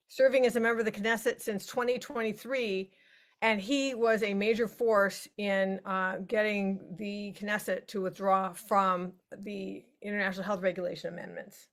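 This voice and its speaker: A woman's voice: mid-range voice, fairly even intonation, moderate pace. A woman hosting an event, thanking one speaker and reading the introduction of the next. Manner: reads introductions, occasional fillers. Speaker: event host.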